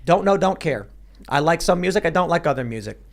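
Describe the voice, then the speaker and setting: Animated high-pitched male show host. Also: quick pace. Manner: animated, voice jumps up when excited. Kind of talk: casual panel chat.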